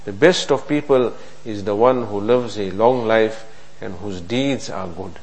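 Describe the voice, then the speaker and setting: A man giving a hadith lesson in an earnest, repetitive preaching manner, asking rhetorical questions and reciting Arabic quickly. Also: lowish voice, low-quality recording. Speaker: religious teacher.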